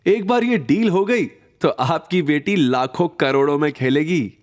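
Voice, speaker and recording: A man's voice: on the high side, lively intonation, measured pace, pitched above the performer's own voice. A man voicing a smug, boastful young rich suitor with a sneering manner in an audio drama.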